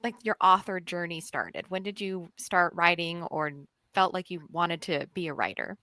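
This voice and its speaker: A woman's voice: fairly low pitch, normal speed, sharp tone, no room sound. A woman co-hosting a podcast and asking questions in a casual conversational style. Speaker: podcast co-host. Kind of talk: casual interviewing.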